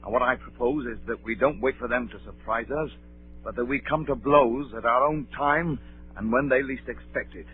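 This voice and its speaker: An actor playing a firm, level-headed ship's captain in a 1930s radio play, speaking decisively. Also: male voice, medium pitch, moderate pace, a little hiss or hum, lightly acted. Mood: resolute. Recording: narrow, muffled 1930s radio broadcast.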